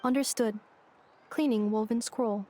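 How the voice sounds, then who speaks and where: Woman playing a calm game robot maid, highish voice, literal, formal announcements, emotionless courtesy. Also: a little slow, slight background noise, natural voice. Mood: dutiful. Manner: formal, deadpan, clipped announcements. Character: polite literal-minded female robot maid, calm and dutiful. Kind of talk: video game voice acting. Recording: clear background music.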